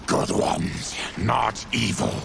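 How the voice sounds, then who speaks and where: An actor playing a noble, stern mutant warrior with a growling voice and terse, solemn delivery in a video game. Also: male voice, lowish voice, takes it slowly, heavy background noise, exaggerated voice. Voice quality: gravelly, growling. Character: noble, gruff, monstrous mutant warrior, stern but merciful. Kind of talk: video game cutscene acting. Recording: loud score behind dialogue. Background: dramatic music.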